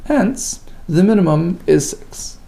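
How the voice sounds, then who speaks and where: Lively, gravelly man teaching Talmud. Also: high-pitched, takes it slowly. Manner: reads Hebrew and Aramaic phrases then translates, lively and emphatic. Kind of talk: daily page lesson, reading and explaining.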